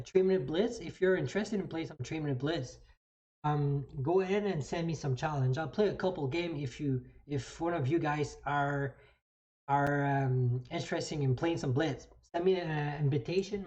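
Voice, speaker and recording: Halting French Canadian chess streamer, slightly dull audio. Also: mid-range voice, darkish tone. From New Brunswick, Canada. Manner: halting, thinking aloud, repeats phrases. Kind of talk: casual live commentary explaining moves.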